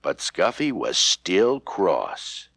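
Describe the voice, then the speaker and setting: A man's voice: low voice, huge pitch swings, very slow, gravelly, a bit bright, husky, dry recording. A man narrating a children's story from a script, with music playing behind him.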